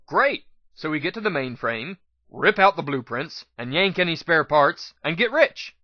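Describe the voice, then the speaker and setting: A man voicing a brash, trigger-happy female pony gunfighter in an excitable dramatic reading. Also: a little high, moderate pace, no echo, highs cut off. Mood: eager, brash. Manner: excitable, loud outbursts. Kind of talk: first-person dramatic reading.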